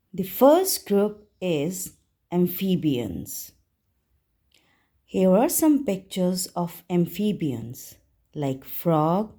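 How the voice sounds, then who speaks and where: Very slow, mellow woman teacher. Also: on the low side. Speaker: primary school teacher. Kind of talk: simple scripted lesson narration for young children.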